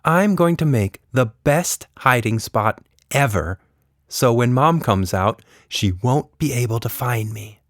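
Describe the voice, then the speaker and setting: A man's voice: medium pitch, expressive, slow, dry recording, studio quality, pitched above the performer's own voice. A man voicing an imaginative, headstrong little girl in a children's story, speaking earnestly and expressively. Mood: determined.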